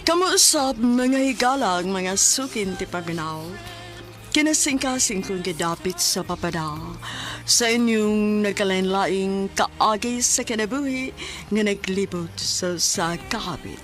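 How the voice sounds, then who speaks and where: Slow-paced Filipina radio drama host. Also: female voice, mid-range voice, lively intonation. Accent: Cebuano Filipino. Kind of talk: scripted radio announcing. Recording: soft music bed behind announcer.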